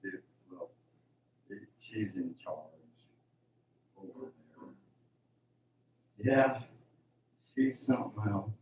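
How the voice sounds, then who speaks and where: Man acting a very slow, halting farm manager. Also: on the low side, a little mellow. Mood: hesitant, subdued. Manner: hesitant, mumbling, long pauses. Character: meek, halting, dreary dairy farm manager. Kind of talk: amateur radio drama acting. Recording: dull, roomy, distant, faint hiss.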